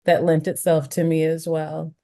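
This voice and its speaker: An American woman hosting a podcast, talking reflectively and thinking aloud with restarts and quick asides. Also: deep voice, completely flat delivery, warm tone. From United States. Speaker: podcast host. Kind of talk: conversational interview chat.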